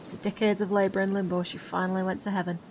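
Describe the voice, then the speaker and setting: A woman playing a brisk, caring spellcaster in an audio drama, with a formal, matter-of-fact way of talking. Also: medium pitch, level tone, background noise, close to an everyday voice. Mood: solemn. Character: brisk female spellcaster of limbo, exasperated yet caring.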